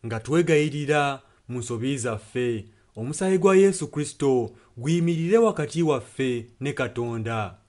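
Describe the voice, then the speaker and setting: A man's voice: mid-range voice, expressive, a little slow, hardly any pauses, a little mellow. A man interpreting a sermon and prayer solemnly, phrase by phrase. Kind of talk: consecutive translation of a sermon and prayer.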